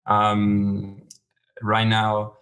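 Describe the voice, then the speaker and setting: Guy on a podcast, lowish flat voice, explains things slowly and carefully. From Venezuela. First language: Spanish. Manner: measured, explanatory, hesitant. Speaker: podcast guest, startup founder. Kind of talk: interview answers.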